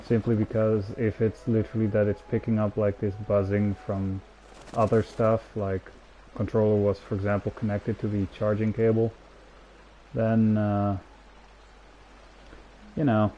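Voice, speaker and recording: A man's voice: lowish voice, flat delivery, a little slow, long pauses, mellow. A man chatting in a casual, rambling way as a game streamer, speaking into a headset mic. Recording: dull headset mic, faint noise.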